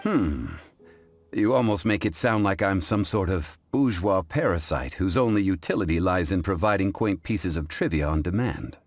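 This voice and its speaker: A man playing a composed, scholarly gentleman in a video game, speaking formally and courteously in measured phrases. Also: sits low, expressive, no echo. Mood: thoughtful. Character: composed, scholarly, dignified gentleman of ancient wisdom. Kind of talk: English game voice acting. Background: background music.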